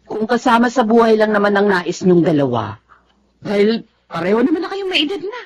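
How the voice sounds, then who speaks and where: A husky woman's voice swings widely in pitch. a nosy, supportive married village friend in a Tagalog radio drama, expressive and full of questions. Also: a little fast. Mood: agreeing, musing. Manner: expressive, inquisitive.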